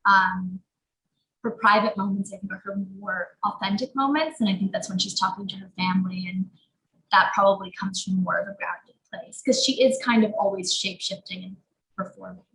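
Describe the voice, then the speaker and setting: Young woman actor, Portuguese native, casual rambling interview talk with a gravelly edge. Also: medium pitch, brisk, sharp tone. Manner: chatty, lots of fillers like "um" and "like". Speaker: actor guest. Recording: roomy echo.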